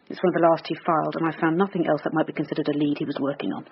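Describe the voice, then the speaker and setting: An actress playing a persistent police detective in a radio drama, brisk, probing and dryly sardonic. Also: female voice, deep voice, little pitch movement. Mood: insistent, businesslike. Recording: dull sound, faint background noise.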